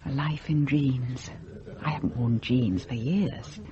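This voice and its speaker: A woman's voice: a bass voice, takes it slowly, highs cut off, noisy background. An actress playing a brittle, sardonic middle-aged society wife and mother in a radio drama, arch and drawling.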